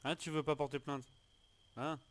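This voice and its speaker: A man's voice: fairly high pitch, flat delivery, normal speed. A man improvising as a suspect in an online roleplay, arguing and repeating himself in colloquial speech. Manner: protesting, argumentative, repeats himself. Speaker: player acting a suspect in an online roleplay game.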